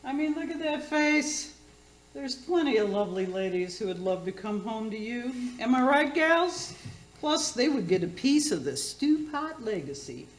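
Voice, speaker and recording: Tipsy brash auntie, swooping echoey voice. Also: female voice, fairly high pitch, big pitch swings, normal speed. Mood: brash, teasing. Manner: rambling, gushing, boozy word slips. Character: tipsy, brash, bawdy auntie hosting a cooking radio show. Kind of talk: community theater radio comedy acting. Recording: roomy, echoing space.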